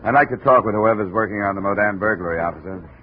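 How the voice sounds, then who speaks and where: An actor playing a wry, hard-boiled American insurance investigator, dry and laconic, in a 1950s radio detective drama. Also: male voice, lowish voice, fast talker, lightly acted. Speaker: lead actor and narrator. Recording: muffled, hissy 1950s radio recording.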